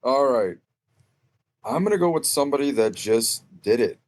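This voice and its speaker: A man's voice: mid-range voice, lively intonation, moderate pace, darkish tone. A man giving casual sports analysis as a guest on a podcast.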